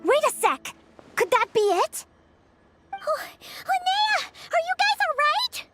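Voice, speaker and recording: An extremely high-pitched, childlike game sidekick, eager and excitable. The delivery swoops up and down with lively swings, in anime style, over faint music. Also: female voice, takes it slowly, heavily acted. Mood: excited, shrill.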